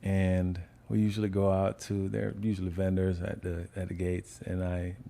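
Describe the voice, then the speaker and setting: Gravelly, mellow-voiced man from Jamaica. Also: deep voice, normal speed. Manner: relaxed storytelling, laughs often. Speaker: podcast guest. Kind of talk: casual interview chat.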